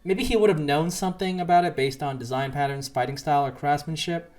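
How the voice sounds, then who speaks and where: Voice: medium pitch, quick pace, a little mellow. A reviewer giving casual, slangy video commentary on a game story, sarcastic and exasperated as they rant.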